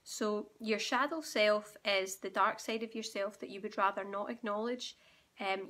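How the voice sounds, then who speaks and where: Croaky-throated woman, sore throat, talking gently to camera at home, explaining things to viewers. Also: mid-range voice. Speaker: spiritual awakening guide and channel host. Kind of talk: direct-to-camera teaching monologue.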